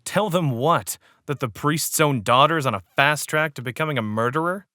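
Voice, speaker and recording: A man's voice: on the high side, moderate pace, bright, forceful tone, no room sound, clean studio sound. A man playing a roguish pony petty crook in an audio drama, cocky and wry but loyal, with a streetwise way of talking. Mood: earnest.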